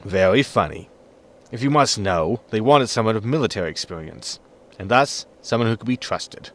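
A man playing a weary, dry-witted spaceship captain in a sci-fi comedy audio drama, deadpan in manner. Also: on the low side, moderate pace. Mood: wry.

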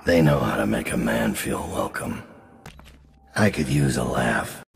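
A grim, menacing assassin boss for a video game. The voice is extremely low and thick with gravel, measured and world-weary, with dry threat in it. A long reverb hangs around it. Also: male voice, expressive, music in the background, heavily acted. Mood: dry, commanding. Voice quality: gravelly, very deep. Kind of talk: video game character acting.

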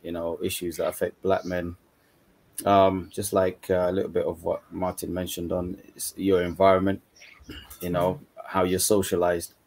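A man from Uganda speaks in a very deep, low register over a video call. He sounds relaxed and conversational, leaning on "you know" as he explains his views. He is talking from a dim room at home. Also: moderate pace, vocal fry. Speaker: guest mentor. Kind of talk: casual panel discussion.

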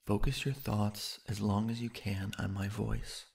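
Slow, low-voiced man with gravelly hush. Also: little pitch movement. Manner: soothing, hushed, unhurried with long pauses. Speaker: guided meditation narrator. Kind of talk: sleep hypnosis guided meditation, slow scripted narration.

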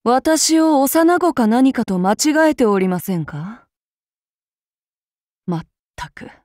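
A voice actress playing a courteous, archaic-spoken samurai woman with a demon side, her soft formal speech giving way to shrill battle cries. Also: very animated, takes it slowly, no echo, clean studio sound. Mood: menacing, courteous. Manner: courteous and archaic with a menacing undertone. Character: polite, bloodthirsty demon-blooded samurai woman. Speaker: voice actress for a game character. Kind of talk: game character voice lines.

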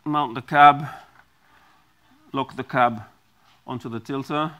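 A middle-aged man walks through a product demonstration at an unhurried, deliberate pace, pausing often with ums and restarting his phrases. His pitch sits in an ordinary middle range. He is recorded close on a lapel mic in a small studio. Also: fairly even intonation, pushed and bright. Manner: slow, halting, many ums and restarts.

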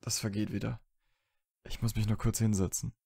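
A man acts out a young wizard in an audio drama, lovesick and a little sullen. His voice is low and mellow, the delivery dry and offhand, recorded close and dry. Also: little pitch movement, normal speed, creaky, husky, no room sound. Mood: subdued, grateful. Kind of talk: fan fiction audio drama acting.

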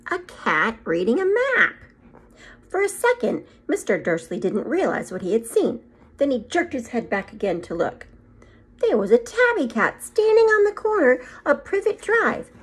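A woman's voice: sits high, normal speed, breathy edge. A middle-aged woman reading a children's story aloud like a teacher, expressively acting it out and adding short asides. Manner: animated, acts out the story, adds short asides. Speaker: second grade teacher reading aloud to pupils. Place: small room at home, indoors. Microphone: phone or tablet propped at arm's length.

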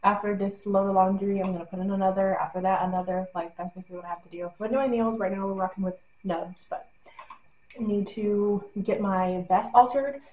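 A woman's voice: medium pitch, steady tone, brisk, darkish tone, muffled recording, a little hiss or hum. Chatty young woman vlogging at home. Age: young adult. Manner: chatty, rambling, many fillers. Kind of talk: casual vlog talk to camera. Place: bedroom at home. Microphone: webcam or phone camera close up.

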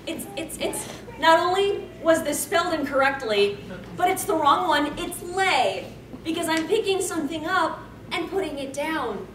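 A woman's voice: very high voice, animated, moderate pace, fairly bright tone. A young woman doing a live comedic dramatic reading as a panel host, with wry, theatrical, self-interrupting delivery. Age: young adult. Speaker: convention panel co-host reading fan fiction. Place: convention panel room stage. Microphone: far camera. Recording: echoey room from far off, noisy.

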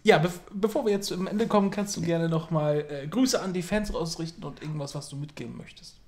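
A man's voice: on the high side, brisk, darkish tone. A young adult man asking questions as the host of a casual interview. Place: small room indoors. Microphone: handheld recorder held in front of him.

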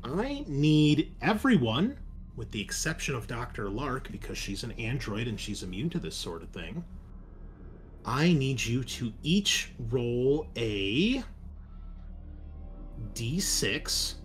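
A man running a tabletop roleplaying game as game master, narrating casually with halting, deliberate pauses. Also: mid-range voice, very animated, faint music behind.

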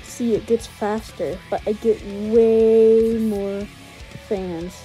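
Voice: mid-range voice, steady tone, slow, dark tone, faint music behind, low-quality recording. A gamer giving casual, slangy gameplay commentary and often repeating words and phrases. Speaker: gaming video host.

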